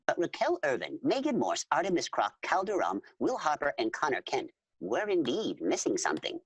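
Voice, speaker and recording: A woman playing an eager alien storyteller who narrates adventure formally and dramatically, with a husky voice and spoken sound effects. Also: sits low, no echo, exaggerated voice. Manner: formal, repeats full names instead of pronouns.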